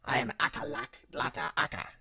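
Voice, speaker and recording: A man's voice: moderate pace, a crowd in the background, close to an everyday voice. A man voicing a proud, grumpy alien company boss in a fan audio drama, with blunt, clipped phrasing.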